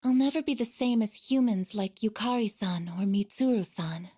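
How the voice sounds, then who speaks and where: A woman voicing a gentle, earnest female android in a video game, speaking softly and hesitantly with shy devotion. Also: medium pitch, slightly rough, close to an everyday voice. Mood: hesitant, sincere. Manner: soft, hesitant, deliberate, tender. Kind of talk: video game voice acting, emotional dialogue.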